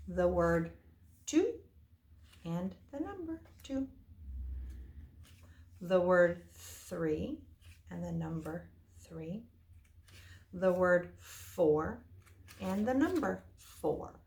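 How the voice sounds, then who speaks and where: A woman's voice: fairly low pitch, sing-song, extremely slow, long pauses, darkish tone. A woman teaching young children informally, explaining things simply in casual words. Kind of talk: informal children's lesson and story time. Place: indoor room. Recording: echoey room, faint noise.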